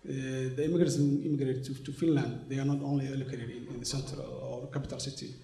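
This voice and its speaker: Male interviewee from Somalia, 40s, mid-pitched and dark-toned, halting delivery, roomy hall sound. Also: level tone, creaky. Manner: hesitant, many fillers and restarts. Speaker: interviewee, organization representative. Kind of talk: conversational interview. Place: conference venue, indoors. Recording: echoey room sound.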